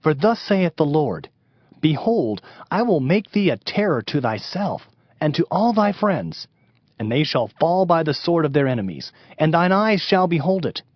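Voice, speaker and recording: Stern biblical prophet, higher voice, declamatory, slightly muffled sound, scripture drama. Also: male voice, big pitch swings, normal speed, no echo. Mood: stern proclamation. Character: stern male Hebrew prophet proclaiming doom. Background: prominent music.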